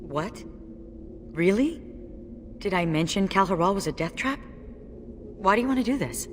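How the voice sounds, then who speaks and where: A woman's voice: mid-range voice, lively intonation, normal speed, slight background noise, lightly acted. An actress playing a brave, wry dwarf warrior woman in a video game, speaking in an understated, matter-of-fact way. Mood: surprised, wry. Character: brave wry female dwarf warrior, sole survivor of her legion.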